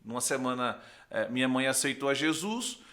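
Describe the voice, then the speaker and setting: A man's voice: medium pitch, normal speed. A young man with a northeastern Brazilian accent, a pastor and podcast guest, giving a conversational testimony and acting out dialogue as he tells it. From Recife, northeastern Brazil. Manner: animated storyteller, acts out dialogue, often checks with "né".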